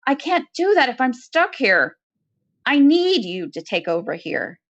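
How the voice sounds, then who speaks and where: A woman's voice: high-pitched, sing-song, a little slow, creaky, lo-fi sound, voice pushed up, heavily acted. Woman as a terse, desperate female convict. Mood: urgent, persuasive. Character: desperate, wily female convict bargaining for survival.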